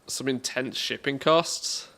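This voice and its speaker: A man from England with an English accent talks off the cuff as a livestreamer. His voice sits at an average pitch for a man. His delivery is informal and joking, often at his own expense. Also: steady tone, slow, pushed and bright. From England, born in the northwest, lived mostly in the Midlands. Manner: jokey, self-deprecating. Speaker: game livestreamer. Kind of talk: casual livestream chat.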